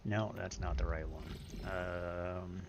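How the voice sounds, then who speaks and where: A middle-aged man with a deep voice streaming a game, chatting casually, explaining patiently and reading menu items aloud. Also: steady tone, slow pace, vocal fry, lo-fi sound, noisy background. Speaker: live streamer. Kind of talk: casual gaming stream chat.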